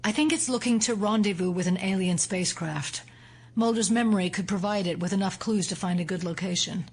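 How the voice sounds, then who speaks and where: An actress playing a resolute, analytical female FBI agent in an audio drama, explaining things seriously and deliberately. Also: mid-range voice, husky. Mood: grave, analytical.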